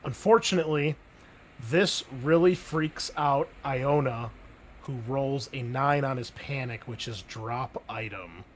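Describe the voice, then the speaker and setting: A man acting as game master, narrating a tabletop roleplaying game and explaining things in a conversational, halting way. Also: mid-range voice.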